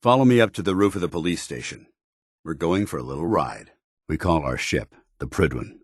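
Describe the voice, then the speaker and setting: Game companion role: dutiful armored military officer, stern and loyal, low clean voice, formal clipped delivery, dry recording. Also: male voice, lively intonation, a little fast, husky, dry recording. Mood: commanding, proud. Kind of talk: video game companion dialogue.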